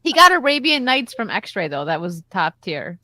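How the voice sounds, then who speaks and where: A bubbly, giggly woman with a bright, slightly high voice that swoops around as she reads donations. She hosts a casual livestream. The sound is close and dry. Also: sing-song, moderate pace, no room sound. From United States. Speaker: livestream host.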